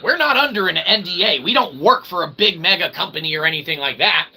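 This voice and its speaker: A man's voice: high voice, expressive, fast, gravelly, sharp tone, slight background noise. A man giving a casual, rambling monologue in a folksy, irreverent way, full of slang and frequent check-ins with the listener. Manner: folksy, repeatedly checking in with "okay" and "all right"; irreverent, slangy, mock-grand wording. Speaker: independent researcher and vlogger.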